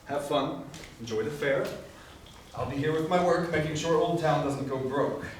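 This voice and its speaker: A man's voice: fairly high pitch, normal speed, recorded from a distance, close to an everyday voice. A man playing a straitlaced, sensible young town office worker in a stage musical, speaking earnestly. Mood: dry, busy. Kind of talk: musical theatre stage acting. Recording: echoey hall recorded from afar, noisy.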